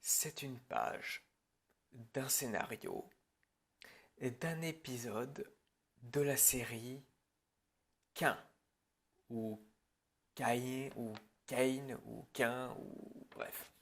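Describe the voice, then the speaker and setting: A man improvising an ASMR roleplay as a film director, talking in a halting, rambling way that often drops toward a whisper. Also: medium pitch, creaky, breathy edge.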